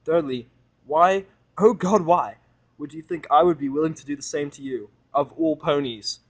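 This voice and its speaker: A man's voice: fairly high pitch, moderate pace, voice pushed up, close to an everyday voice. A man voicing a hostile, sarcastic human who snaps and rants angrily in a fan audio drama. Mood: furious. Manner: snappish, ranting, swearing. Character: bitter, hostile, sarcastic human male misanthrope.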